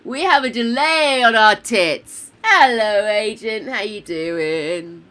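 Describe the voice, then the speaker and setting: An older woman streaming a building game, chatting casually, swearing freely and muttering to herself, her voice croaky from a sore throat. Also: mid-range voice, slow, a bit bright.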